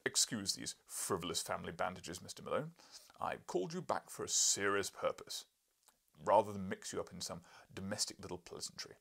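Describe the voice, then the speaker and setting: A man's voice: deep voice, lively intonation, brisk, gravelly, a bit bright, slightly rough, exaggerated voice. A man voicing a huge, domineering professor in an audiobook, pompous, mocking and emphatic. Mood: pompous, commanding. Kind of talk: audiobook character voice.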